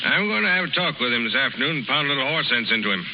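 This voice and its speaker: Actor as stuffy male civic leader, gruff, disapproving, formal, 1930s radio drama, dull hissy sound. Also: mid-range voice, expressive, very fast, close to an everyday voice. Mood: gruff, scornful. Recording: noisy, muffled 1930s radio recording.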